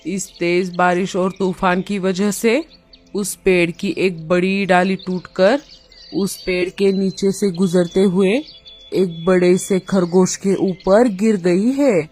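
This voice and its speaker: A woman's voice: lowish voice, fairly even intonation, takes it slowly, a little mellow. A woman voicing a shrewd, motherly old female bird in a cartoon, reassuring and full of instructions. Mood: coaxing, sly. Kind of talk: cartoon dialogue. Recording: music under the voice.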